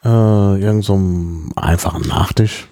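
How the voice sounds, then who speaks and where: A man in middle age with a low, dark and relaxed voice. He talks in a casual, anecdotal way, hesitating and often clearing his throat. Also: normal speed. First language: German. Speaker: podcast guest telling about his trip. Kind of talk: casual chat, travel anecdotes.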